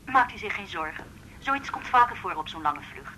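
An actress playing a calm, polite female airline information clerk reassuring a caller over the telephone in a radio drama. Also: medium pitch, moderate pace, rough recording. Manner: polite, formal.

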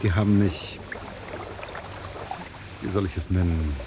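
An actor playing a frustrated journalist in a radio play, dry, ironic and musing, resigned yet affectionate. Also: male voice, sits low, steady tone, a little slow, noisy background. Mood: wry, resigned.

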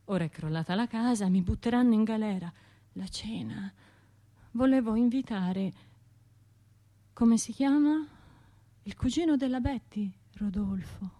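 A woman's voice: a little high, a little slow, long pauses, close to an everyday voice. An actress playing a traumatised, self-reproaching woman survivor in a radio drama monologue, speaking in fragmented, obsessively repeated phrases. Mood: panicked, self-reproaching. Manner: fragmented, repetitive, obsessive delivery with hushed and surging phrases.

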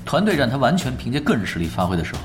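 A man's voice: mid-range voice, sing-song, rapid-fire, natural voice. An actor playing an unruffled, blunt male esports veteran who lectures drily and with composure in a radio drama. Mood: stern, cutting. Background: background music.

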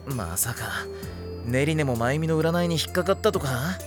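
A man's voice: on the high side, lively intonation, normal speed, close to an everyday voice. An actor, a man, playing a caring teenage schoolboy in an anime audio drama, with a casual, blunt but kind manner. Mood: curious, casual. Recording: music bed under the voice. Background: music.

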